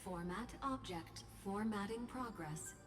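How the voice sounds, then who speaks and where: An actress playing an emotionless computer system, making clipped, procedural announcements in a video game. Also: female voice, mid-range voice, measured pace, music in the background, lightly acted. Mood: impassive.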